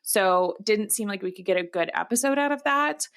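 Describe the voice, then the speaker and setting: A woman co-hosting a casual discussion podcast, often pausing and searching for her words as she explains. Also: mid-range voice, lively intonation, a bit bright. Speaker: podcast co-host. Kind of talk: casual book-adaptation discussion.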